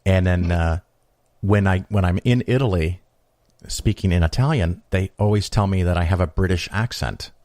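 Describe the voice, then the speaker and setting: Very deep-voiced guy hosting a podcast, dry close sound, laid back and wry. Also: normal speed, no echo. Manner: relaxed, wry asides. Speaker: podcast host. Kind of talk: casual interview chat.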